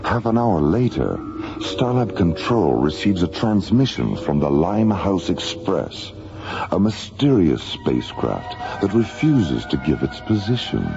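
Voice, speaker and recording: Deep, gravelly radio serial narrator. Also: male voice, big pitch swings, measured pace, muffled recording, full character voice. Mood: measured, grave. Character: grave male announcer of a space serial. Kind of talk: documentary-style radio drama narration. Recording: band-limited radio recording, loud music bed.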